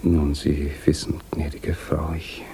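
An actor in a 1960s German radio play playing a subdued, anxious married gentleman who speaks haltingly and politely. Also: extremely low pitch, flat delivery, gravelly, slightly rough, heavily acted. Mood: hesitant, courteous.

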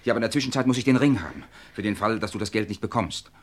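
A man's voice: fairly low pitch, very fast, close to an everyday voice. An actor playing a tense, cynical man living under a false identity in an old-style crime radio play, speaking with urgency and bitterness. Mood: pressing, insistent. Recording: music behind the voice.

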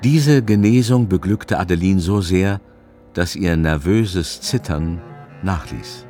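A man narrating a literary radio play in a measured storytelling manner. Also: low-pitched, dark tone, faint music behind.

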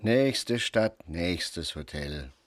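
An actor playing a gruff, cynical middle-aged father in a radio drama, telling his tales emphatically and with foul-mouthed agitation. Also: sits low, animated, very slow, faint music behind, full character voice. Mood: wry.

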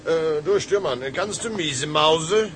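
An actor playing a brusque, boisterous sea captain in a radio drama, barking orders. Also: male voice, fairly high pitch, animated, unhurried, fairly bright tone, slight background noise. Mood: jovial, coaxing. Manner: barking, commanding. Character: brusque, boisterous male tugboat captain who drinks and gambles.

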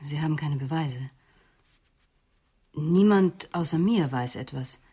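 An actress playing a cornered, bitter psychoanalyst who has killed, in a German radio crime drama, with an intense, defiant manner. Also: female voice, sits low, slow pace, warm tone, breathy edge, exaggerated voice.

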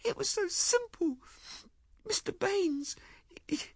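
A man voicing a timid, pleading young wife with a halting, breathy, tearful delivery in an audiobook. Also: very high-pitched, expressive, dry recording, clean studio sound, pitched above the performer's own voice, heavily acted. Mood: tearful, frightened. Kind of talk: audiobook character acting.

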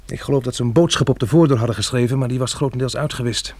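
A man's voice: mid-range voice, rapid-fire, a little mellow, close to an everyday voice. An actor playing a weary, practical male survivor turned farmer in a radio drama, with a hesitant, musing delivery. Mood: grim resolve. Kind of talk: radio drama acting with diary narration.

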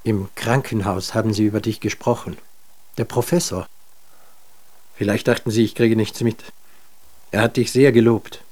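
A man's voice: fairly low pitch, fairly even intonation, normal speed, pauses often, a little mellow, close to an everyday voice. Actor playing a weary, stubborn man. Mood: weary, halting. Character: weary, stubborn, self-doubting man back from hospital, groping for words. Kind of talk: German radio drama acting.

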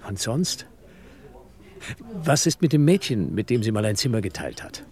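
An actor playing a troubled theatre director under suspicion in a German radio drama, earnest and probing. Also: male voice, lowish voice, very animated, normal speed, a little mellow. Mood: urgent, probing.

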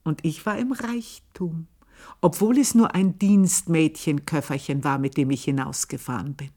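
A woman's voice: low voice, normal speed, warm tone. An actress playing an older housemaid who tells her own story in a radio play, speaking in an intense, confiding and scornful way. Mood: bitter confiding. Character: aging housemaid, bitter, proud, long in service.

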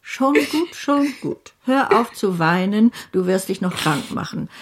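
An actress playing a domineering, eccentric great-aunt in a German radio play, speaking in a brisk, cutting manner. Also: female voice, medium pitch, expressive, a little hiss or hum, close to an everyday voice. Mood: gruff, consoling. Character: domineering, brusque eccentric great-aunt, bossy but well-meaning.

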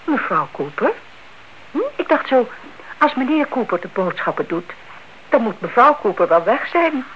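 Through a dull, noisy old radio-play recording comes a nosy older aunt. She is chatty and insistent, repeating herself as she pries. Her intonation is very lively. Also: on the high side, moderate pace. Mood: gossipy, curious. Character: nosy, suspicious older aunt, a gossipy neighbour. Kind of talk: Dutch radio play acting.

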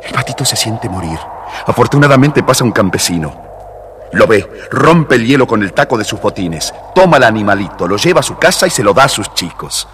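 Deep, expressive male storyteller. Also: fairly quick, a little hiss or hum. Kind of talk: expressive scripted children's storybook narration.

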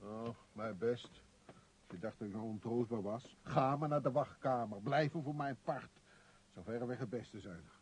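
An actor playing a middle-aged, henpecked shopkeeper in a radio play, querulous, blustering and full of self-pity. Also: male voice, medium pitch, moderate pace, darkish tone, husky, lightly acted. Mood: exasperated. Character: grumbling, self-pitying small shopkeeper, henpecked husband and father. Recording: noisy old radio play recording.

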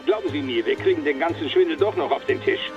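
Pedantischer, hoher Kommandant von einem Mann gespielt, dumpf aufgenommen. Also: male voice, fairly high pitch, fast talker, natural voice. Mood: indignant, defensive. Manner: articulate, formal, pedantic. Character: stubborn, erudite, easily irritated French police commandant. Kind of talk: German radio crime drama acting. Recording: dull, band-limited phone-call sound, faint music.

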